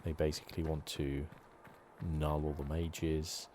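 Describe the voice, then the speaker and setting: A man giving soft, relaxed ASMR commentary over a video game. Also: rumbling low, unhurried. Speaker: gaming channel host. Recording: clear background noise. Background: game ambience.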